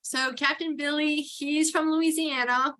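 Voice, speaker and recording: A woman's voice: high-pitched, steady tone, unhurried, bright, forceful tone. A middle-aged woman from New York with a Texan accent, chatting casually as an interview guest and laughing often. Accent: Texan with some New York. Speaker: guest, towboat port captain.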